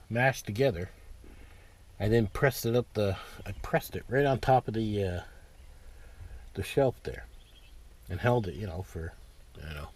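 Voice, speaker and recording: A man giving a casual, unscripted DIY walkthrough, explaining step by step in a plain-spoken, halting way. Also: fairly low pitch, darkish tone. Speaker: DIY how-to presenter. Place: in or beside a parked pickup truck.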